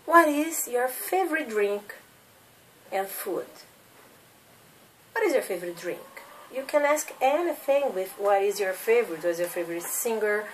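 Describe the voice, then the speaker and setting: A woman's voice: medium pitch, expressive, normal speed, distant mic, a little hiss or hum, poor audio. A woman in her thirties from Brazil with a Brazilian Portuguese accent, teaching English as a second language and drilling simple questions for learners to repeat. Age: 30s. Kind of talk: slow classroom drilling of questions.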